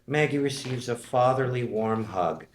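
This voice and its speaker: A man's voice: mid-range voice, expressive, moderate pace, slight background noise. Man reading screenplay directions in echoey room. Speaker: stage directions reader. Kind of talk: scripted screenplay narration at a table read.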